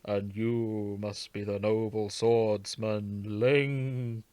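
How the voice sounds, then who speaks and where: An ancient warrior matriarch's spirit, acted out by a man, solemn and stately. The delivery is very slow and grand, with weighty pauses, as in a hyperdramatic story reading. The sound is dry. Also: on the low side, level tone, dark tone, smooth, no room sound, pitched below the performer's own voice. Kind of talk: hyperdramatic fan fiction reading.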